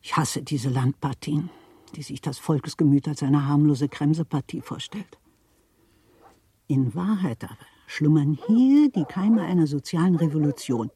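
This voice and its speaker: An actress playing a worldly, gossipy middle-aged widow of a Berlin official in a German radio play, theatrical, insinuating and chatty. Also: female voice, low-pitched, animated, measured pace, warm tone, breathy edge, heavily acted. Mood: indignant, relishing. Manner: theatrical, insinuating, chatty, repeats words for emphasis.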